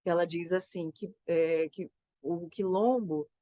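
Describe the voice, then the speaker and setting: A woman with a Brazilian Portuguese accent, a theater creator getting over a cold, talking about her work in a reflective, halting way and often tagging her sentences with "né?". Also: on the low side, steady tone, dark tone, no echo. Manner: thoughtful, halting, frequent "né?" tags. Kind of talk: reflective conversation.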